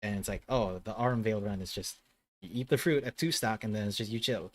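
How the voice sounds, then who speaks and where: A man's voice: fairly low pitch, a little fast. A man hosting a casual gaming stream chat. He rambles, corrects himself mid-sentence and laughs easily. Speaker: host of a gaming channel.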